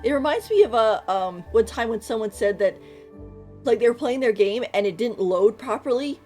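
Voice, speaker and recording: A woman's voice: fairly high pitch, expressive, moderate pace, warm tone. A woman streaming a game, giving casual live commentary and thinking aloud in a rambling way. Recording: faint game music behind.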